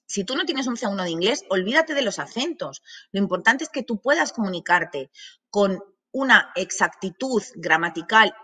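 A woman's voice: medium pitch, animated, moderate pace, sharp tone, husky. A woman in her thirties from Madrid with a Madrid Spanish accent, giving an online masterclass in a chatty way full of asides and fillers, recorded at her office desk. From Madrid, Spain. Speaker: language academy director. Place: small office with banners.

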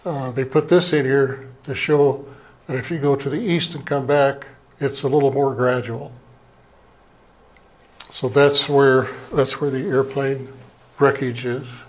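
An older man, an Air Force veteran, giving a plain, halting slide presentation from a lectern in a small museum meeting room, heard from a camera across the room. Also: mid-range voice, steady tone. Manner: plain, unhurried, halting with fillers. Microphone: far camera, speaking at a lectern.

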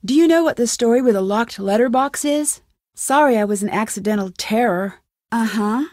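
A woman voicing a young amateur detective in a video game, inquisitive and determined, with a clear, earnest, questioning delivery. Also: a little high, normal speed, darkish tone, no room sound, studio quality, natural voice. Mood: probing, insistent. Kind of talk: scripted game dialogue, isolated lines.

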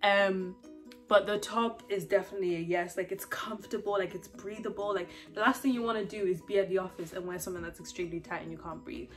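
A woman's voice: medium pitch, steady tone, quick pace, soft background music. A young woman, not a native English speaker, chatting animatedly in a home try-on haul vlog and calling her viewers guys. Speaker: fashion vlogger. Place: home living room, indoors.

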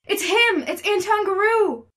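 A woman playing a skeptical, exasperated young woman with a dry, sarcastic, sharp-tongued way of talking in an audio story. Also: on the high side, normal speed, lightly acted. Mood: panicked.

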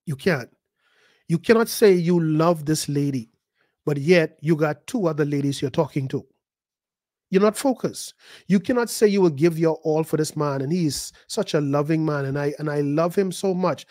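A man preaching a sermon, his fairly high voice leaping higher and louder when he drives a point home. He repeats words as he builds and acts out the voices of the people he describes. The sound is dry and close. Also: no echo. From the Bahamas. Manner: emphatic, rising to high pitch and volume at key points. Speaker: pastor.

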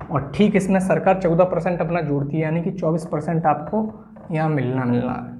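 A young man gives a whiteboard-style lesson in a soft-edged, mellow voice. His pitch sits a little high for a man. He explains things step by step and often repeats his points. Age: 20s. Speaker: exam-coaching teacher. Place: small indoor room at a whiteboard.

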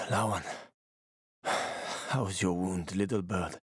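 An actor playing a wry, roguish middle-aged survivor in a video game, with a sardonic, slangy, casual way of talking. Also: male voice, sits low, steady tone, slow pace, fairly bright tone, slightly rough, no echo, clean studio sound, exaggerated voice. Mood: subdued, tender. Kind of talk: video game character acting.

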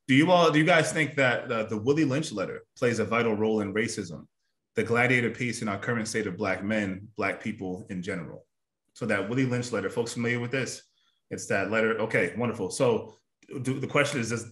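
Soft-spoken man moderating in an echoey room. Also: fairly low pitch. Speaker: discussion moderator. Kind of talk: moderating a panel discussion. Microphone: webcam on video call.

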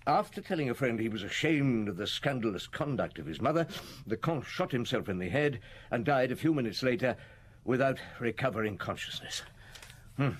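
An actor in a radio drama playing a brooding police chief inspector, deliberate and questioning, thinking aloud. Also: male voice, medium pitch, lightly acted. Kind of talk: BBC radio drama acting. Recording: noisy old radio broadcast.

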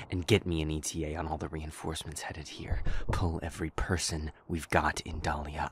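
Tyrant king for audio drama, male actor: low, commanding, angry and unyielding, with noise behind. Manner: commanding, clipped, forceful.

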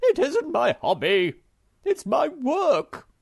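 A shrill, screeching Hemulan, rude and cross. His voice jumps very high and stretches slow with outrage. The storybook reading sounds close and dry. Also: big pitch swings, gravelly, a little mellow, no echo, full character voice. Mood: outraged.

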